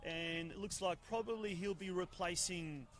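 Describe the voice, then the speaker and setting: A man giving live football commentary outdoors at a ground, booming and excitable, holding a handheld mic at arm's length. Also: normal speed. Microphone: handheld mic held at arm's length.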